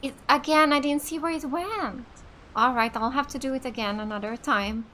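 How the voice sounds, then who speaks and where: A woman's voice: high voice, moderate pace, pushed and bright, slight background noise. A woman from Finland giving chatty, enthusiastic casual let's play commentary as a gaming host. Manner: chatty, enthusiastic about the game.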